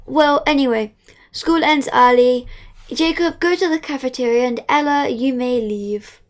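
A woman playing a strict schoolteacher in an amateur game movie, brisk and commanding. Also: sits high.

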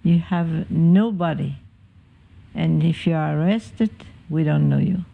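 A woman's voice: low voice, takes it slowly, gravelly. An older woman speaking in an interview, terse and emphatic, on muffled audio. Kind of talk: interview reminiscence.

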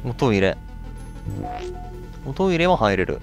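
A man gives casual commentary as he plays through a game. His mid-pitched voice moves around in lively intonation, with music behind it. He slips between casual and polite phrasing as he addresses viewers. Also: measured pace, gravelly. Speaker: let's play host. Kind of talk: casual game playthrough commentary, reading on-screen text aloud.

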